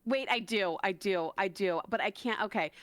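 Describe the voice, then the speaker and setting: A woman's voice: medium pitch, normal speed, fairly bright tone, no room sound. A woman voice actor chatting casually as a guest on a stream, emphatic and prone to repeating herself. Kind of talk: casual chat.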